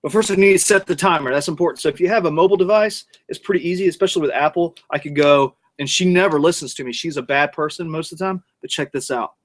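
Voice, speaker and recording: Man, slightly high voice, loose and jokey, talks while cooking outside at the grill. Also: fast, few pauses. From North Georgia, USA. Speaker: cooking show host. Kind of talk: casual cooking vlog. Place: outdoors at a grill in the mountains.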